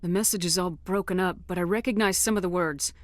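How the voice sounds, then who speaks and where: A woman's voice: lowish voice, steady tone, fast, no echo, natural voice. A woman playing a decisive, commanding space squad commander in a sci-fi game. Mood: serious.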